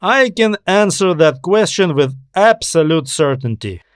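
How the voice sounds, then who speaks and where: A confident male space-station officer , acted by a male narrator, gives his report in a science fiction audiobook. His voice is raised in pitch and emphatic, explaining with self-assurance. The sound is dry. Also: lively intonation, measured pace, no room sound. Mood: wry, confident. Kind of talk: audiobook character dialogue.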